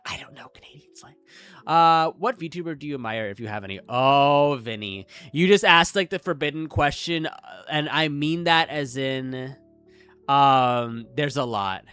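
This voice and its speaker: A man's voice: mid-range voice, animated, measured pace, a bit bright, clear, resonant tone, faint music behind. A young man with a Midwestern American accent, rambling through a casual live-stream chat with lots of filler words. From Midwestern United States. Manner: rambling, chatty, frequent "like" fillers, self-deprecating.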